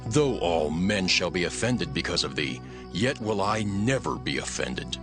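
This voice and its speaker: An earnest, loyal disciple speaks emphatically in a fairly low, very animated voice. It is an archaic scripture drama with clear music underneath. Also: male voice, a little slow. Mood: defiant, loyal. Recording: voice over prominent music.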